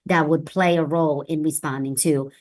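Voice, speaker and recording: Woman with a lowish voice, speaking emphatically. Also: steady tone, normal speed. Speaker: city health director, interview guest. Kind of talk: earnest interview conversation.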